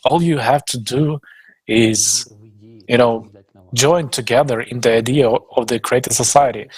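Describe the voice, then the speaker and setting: A man's voice: medium pitch, husky, rough recording. A man talking informally on a panel in a conversational, enthusiastic manner.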